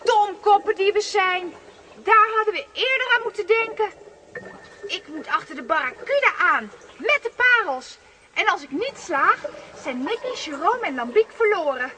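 An actress playing a plucky, good-hearted young girl with an excitable manner in a children's radio play. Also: very high voice, moderate pace, background noise. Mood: earnest. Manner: excitable, exclaiming.

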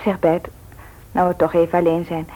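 An actress playing a caring, frank young medical student in a radio drama, earnest and slightly hesitant. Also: female voice, on the low side, monotone, moderate pace, mellow, natural voice. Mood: curious, frank. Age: young adult. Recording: thin, phone-like old radio recording.

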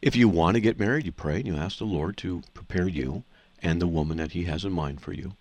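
A man's voice: deep voice, brisk, darkish tone, no room sound. A man hosting a call-in radio show, explaining scripture to callers in an assertive, teaching manner. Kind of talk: call-in radio Bible answers.

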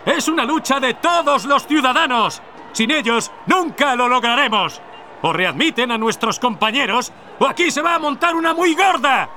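An actor playing an indignant union leader in a radio drama, speaking in an urgent, rallying way. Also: male voice, very high voice, expressive, moderate pace, bright, forceful tone, a little hiss or hum, heavily acted. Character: fiery male union worker leader.

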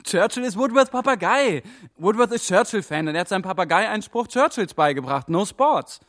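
An eager young intern, lively and high. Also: male voice. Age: young adult. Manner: quick, excited deductions. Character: young male intern turned sleuth. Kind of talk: radio drama acting.

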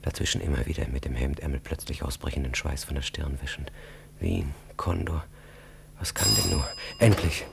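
A man narrating a radio drama in a terse, intense, dramatic style, hushed at times. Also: a bass voice, moderate pace, gravelly, noisy background, full character voice. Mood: tense, hushed. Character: tense storyteller of an officer's ordeal. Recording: music behind the voice.

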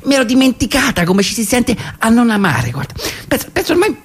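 An actress in a radio theatre comedy playing a bashful, giddy woman in love, halting and gushing as she talks. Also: fairly high pitch, sing-song, husky. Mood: elated, gushing. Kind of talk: radio theatre comic dialogue.

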